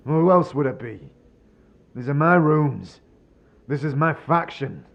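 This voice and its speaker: A man's voice: fairly high pitch, animated, measured pace, gravelly, dull audio, exaggerated voice. A man acting a bitter, despairing man who has lost all faith, brooding and sardonic, in a fantasy audio drama. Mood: bitter, agitated. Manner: brooding, sardonic, rhetorical questioning.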